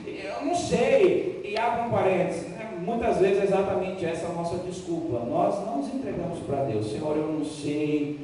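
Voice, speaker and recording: A Brazilian man preaches in a big, heavily echoing hall, picked up from some distance. His voice is fairly high and mellow. He speaks emphatically, building through repeated phrases and pausing for effect. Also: lively intonation. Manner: emphatic, repetitive phrasing with dramatic pauses. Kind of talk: sermon with Bible reading. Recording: echoey hall, distant mic, faint noise.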